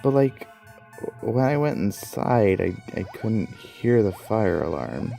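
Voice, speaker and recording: A man's voice: lowish voice, sing-song, slow pace, vocal fry, mellow. A young man telling a comedic personal story in a rambling, self-deprecating way, with music behind him. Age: young adult. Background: background music.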